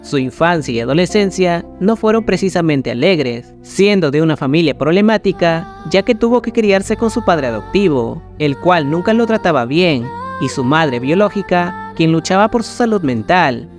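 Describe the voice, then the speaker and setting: A man reading a scripted creepypasta narration as a storyteller. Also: a little high. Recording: music behind the voice. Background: background music.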